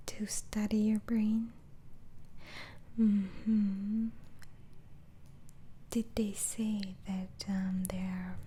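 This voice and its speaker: A woman whispering in an ASMR roleplay as a doctor interviewing a patient, hesitantly asking questions and repeating answers back in a breathy voice. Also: medium pitch, dark tone, a little hiss or hum. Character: gentle, inquisitive female mental asylum doctor.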